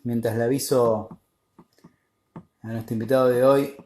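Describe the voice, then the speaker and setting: A man's voice: medium pitch, steady tone, warm tone. Argentine man hosting in a roomy echo. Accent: Argentine Spanish. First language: Spanish. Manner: halting, searching for English words. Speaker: interviewer. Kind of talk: casual live interview chat.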